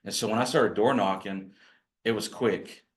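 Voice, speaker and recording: Male trainer, low growly voice, folksy and self-deprecating, workshop delivery. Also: fairly even intonation, normal speed, a little hiss or hum. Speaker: real estate agent training other agents. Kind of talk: casual workshop talk to a group.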